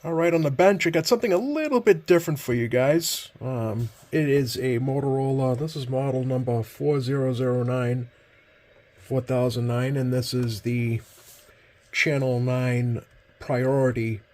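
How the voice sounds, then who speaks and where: Halting man, casual bench demo. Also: medium pitch, a little mellow. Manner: hesitant, halting, rambling with self-corrections. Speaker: host, CB radio collector. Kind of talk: casual product demonstration. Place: indoors at a workbench.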